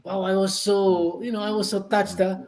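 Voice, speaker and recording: A man with a Filipino accent chatting casually as a radio veteran guest, repeating himself in an animated way. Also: high-pitched, normal speed, low-quality recording. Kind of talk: casual chat.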